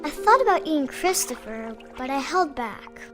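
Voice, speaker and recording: A woman's voice: high-pitched, sing-song, moderate pace, over loud music. A woman playing an earnest, hungry little girl narrating her diary in a story game. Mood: earnest, matter-of-fact.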